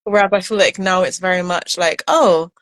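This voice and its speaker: A woman's voice: on the low side, steady tone, normal speed, fairly bright tone. Barbadian woman coaching on healthy habits. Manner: earnest, explains simply with many likes and restarts. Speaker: guest, burnout recovery coach. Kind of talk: casual conversation. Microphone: video call.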